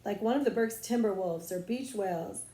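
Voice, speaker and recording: A woman's voice: medium pitch, normal speed, dark tone. Woman reading a story in an echoey room. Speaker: audiobook reader, librarian. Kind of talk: expressive children's novel narration. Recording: roomy echo.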